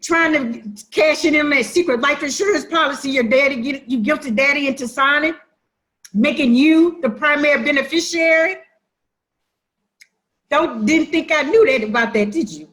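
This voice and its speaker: A woman's voice: high voice, normal speed, a bit bright. A woman acting a hurt, defiant, sassy sister who makes emphatic accusations in a dramatic play reading. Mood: accusing, hurt. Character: wounded, defiant younger-minded sister confronting her sibling.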